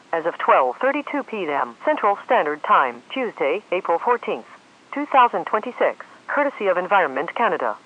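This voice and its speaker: A woman's synthetic voice reads scripted forecasts and climate summaries as an automated weather announcer, in a formal style. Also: mid-range voice. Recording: dull lo-fi weather radio audio.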